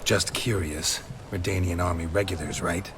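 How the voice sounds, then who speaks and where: An actor playing a gruff, laconic monster-hunting mercenary in a video game, terse and dryly sardonic. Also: male voice, low-pitched, moderate pace, background noise, close to an everyday voice. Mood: dry, probing. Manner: terse, deadpan, clipped sentences.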